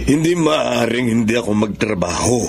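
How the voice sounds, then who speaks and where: Gravelly, stubborn ailing farmer. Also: male voice, mid-range voice, lively intonation, moderate pace, a little hiss or hum. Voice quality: gravelly, husky. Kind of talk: Tagalog radio drama acting.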